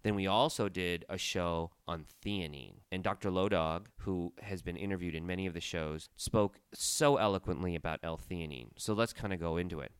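Deep-voiced man hosting a tea talk show. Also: moderate pace, hardly any pauses, smooth, no room sound, studio quality. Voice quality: deep, resonant. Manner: chatty, storytelling. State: stuffy nose, recovering from a cold. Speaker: podcast host. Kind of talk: casual chat. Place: studio.

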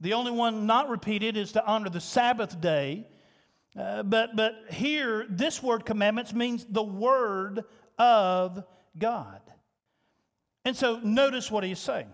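Pastor preaching in a reverberant hall. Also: male voice, high-pitched, takes it slowly. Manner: animated, swinging from loud to hushed. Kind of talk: sermon. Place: church sanctuary. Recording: long reverb of a church hall.

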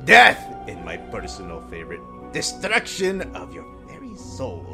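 A pompous, menacing storyteller acted out by a performer in a comic audio drama. The voice swoops wildly from hushed doom to grand proclamation, gravelly at the edges, over prominent music. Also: deep voice, wildly swooping pitch, normal speed, exaggerated voice. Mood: grandiose menace. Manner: portentous, melodramatic, dragging out grim pronouncements. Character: pompous, menacing, theatrical omniscient narrator who gets flustered. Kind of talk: theatrical second-person narration, audio comedy drama. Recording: music behind the voice.

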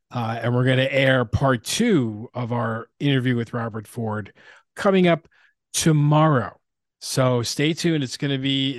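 A man's voice: mid-range voice, no room sound, clean studio sound. A man hosting a podcast in a casual, conversational style. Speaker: podcast host.

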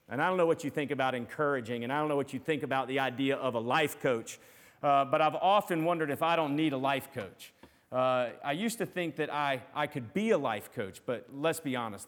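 Earnest preaching man in a reverberant hall. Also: mid-range voice. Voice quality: loud voice. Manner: earnest, encouraging, with frequent fillers and restarts. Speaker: pastor. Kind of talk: sermon.

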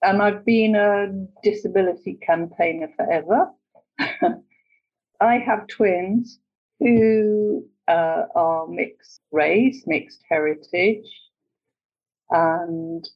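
Slow-talking woman on dull audio. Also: fairly low pitch. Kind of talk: casual conversation.

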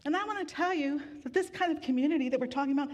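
A woman pastor giving a sermon in a relaxed, conversational way with gentle humor, weary from grief. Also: high-pitched, rapid-fire. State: grieving, tired. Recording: long hall reverb.

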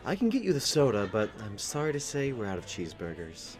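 Man playing an expressive diner waiter, swooping pitch. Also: fast, soft background music. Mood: polite. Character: diner waiter Sid, otherworldly helper, obliging and expressive. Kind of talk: audio drama acting.

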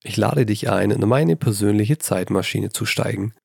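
A man hosting a solo writing podcast, slightly hoarse from a cold, talking in a chatty, self-deprecating way into a handheld mic in a small bedroom. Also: fairly low pitch, moderate pace, a little mellow, dry recording, studio quality. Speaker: podcast host. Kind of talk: casual solo podcast talk. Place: old childhood bedroom at his mother's house. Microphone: handheld microphone.

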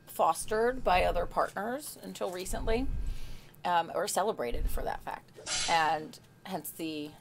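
A woman's voice: lowish voice, measured pace, creaky, slight background noise. A woman guest on a podcast talking candidly about her private life, frank but hesitant, with many fillers. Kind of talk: candid personal chat.

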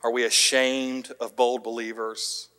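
A pastor preaching a sermon, with emphatic rising peaks, quiet dropped line endings and some light self-deprecating humor. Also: male voice, mid-range voice, level tone, unhurried, clear, resonant tone. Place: church sanctuary. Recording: long hall reverb.

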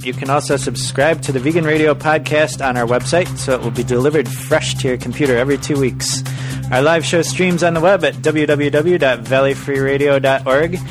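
A man's voice: mid-range voice, brisk, over music. A man hosting a radio podcast, reading the show's plugs in a friendly, easy way. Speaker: podcast host. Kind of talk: radio interview and show outro.

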